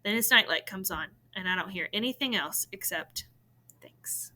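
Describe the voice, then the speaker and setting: A woman reading a novel in verse aloud, in an expressive storytelling style. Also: mid-range voice, fairly quick, slight background noise.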